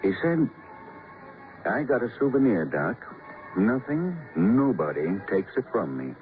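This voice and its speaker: A man playing a grave, cultured, mournful doctor with florid, measured phrasing in an old radio drama. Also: lowish voice, big pitch swings. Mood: intense, emotional. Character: grave, cultured, theatrical male society doctor, mournful and guarded. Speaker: actor in a radio detective drama. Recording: muffled old radio broadcast with music.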